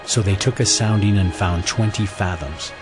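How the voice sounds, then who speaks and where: A man narrating a scripture audio drama in a measured, solemn storytelling manner, reading from a script. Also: on the low side. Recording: music bed under the voice.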